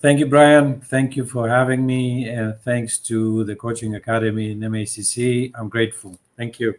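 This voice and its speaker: A man's voice: medium pitch, little pitch movement, normal speed. Middle-aged man. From Greece. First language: Greek. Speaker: guest, leadership coach. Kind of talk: conversational interview storytelling.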